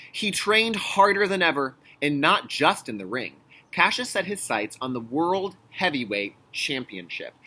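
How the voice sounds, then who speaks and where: A man's voice: medium pitch, a little hiss or hum. A man teaching young children in a read-aloud lesson, reading expressively and explaining things in simple, instructional phrases. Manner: clear instructional phrasing with check-in questions; expressive, emphatic storybook reading. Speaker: kindergarten teacher.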